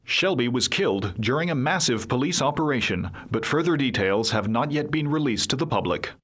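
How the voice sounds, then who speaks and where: An actor playing a male TV news anchor, reading the headlines in a measured, formal broadcast manner. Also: medium pitch, lively intonation, fairly bright tone. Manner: crisp, measured broadcast delivery. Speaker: newsreader. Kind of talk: scripted TV news read.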